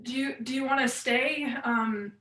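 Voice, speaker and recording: Distant and roomy, an actress plays an exasperated adult daughter with a bright, sharp voice. She is terse, wary and insistent, a bewildered woman in a one-act play. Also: sits high, a little slow. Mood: stunned, reeling.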